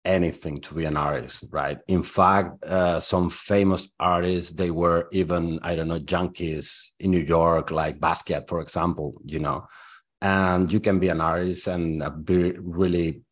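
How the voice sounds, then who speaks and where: A man from Spain in his forties, talking in English with a Spanish accent. His voice sits very low, and he answers interview questions in a relaxed, rambling way, leaning on fillers as he thinks. He comes across as candid and unhurried. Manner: thoughtful, loose, with frequent fillers. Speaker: guest artist.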